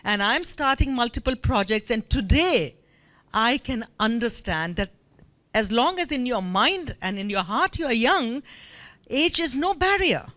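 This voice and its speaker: A woman in her fifties from India, telling an animated personal story with many fillers from the floor of a forum. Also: a little high, a little slow. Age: 50s.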